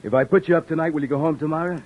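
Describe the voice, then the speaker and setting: An actor playing a weary, wry beat cop in a 1950s radio drama, talking in dry streetwise wisecracks. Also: male voice, a little high, racing, phone-quality sound, natural voice. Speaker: police officer narrating.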